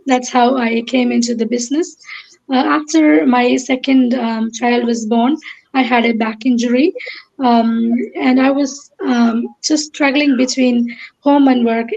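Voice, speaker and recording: A woman in her thirties from Kerala, India, speaking as a guest in an online interview, pausing often with ums and uhs. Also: on the high side, steady tone, a little mellow, muffled recording. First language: Malayalam. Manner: hesitant, many ums and uhs.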